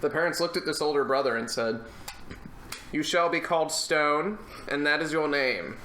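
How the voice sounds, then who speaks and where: A man's voice: on the high side, normal speed, pushed and bright, a little hiss or hum. A young man casually discussing films as a podcast co-host, with a dry, wry manner. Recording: roomy, echoey indoor sound.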